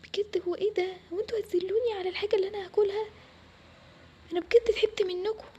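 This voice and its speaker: Neglected Egyptian daughter played by a woman for a family story: high, plaintive, resentful girl's voice. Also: fairly even intonation, rapid-fire, dark tone, lo-fi sound. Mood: indignant, hurt. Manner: whiny, plaintive. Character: hurt, whiny neglected young daughter, pleading and resentful. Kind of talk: acted Arabic family story.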